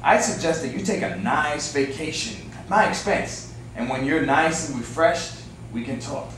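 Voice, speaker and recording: A man's voice: on the high side, lively intonation, normal speed, a bit bright. A man in an amateur staged reading playing a scheming fight fixer, bossy and condescending, with a veiled menace. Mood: smooth pressure. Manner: wheedling yet menacing, condescending. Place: echoey indoor room, staged reading. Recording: distant echoey room, faint noise.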